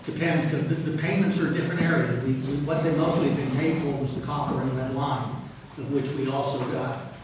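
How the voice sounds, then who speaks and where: A man, a city official, explaining budget details at a public meeting in a halting, explanatory way. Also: mid-range voice, fairly even intonation. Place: council meeting hall. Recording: echoey hall, distant mic, background noise.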